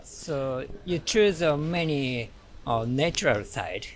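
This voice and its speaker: A woman with a Japanese accent, a street interviewer asking simple questions haltingly with many short acknowledgements. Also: extremely low pitch, lively intonation.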